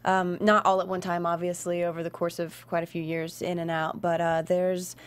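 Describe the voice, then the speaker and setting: Chatty woman guest with a lower voice. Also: completely flat delivery, normal speed, clean tone. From Tennessee, US South. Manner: chatty, laughing, self-deprecating. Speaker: guest actress. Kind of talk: casual studio chat. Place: talk show studio. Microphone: studio mic with headphones.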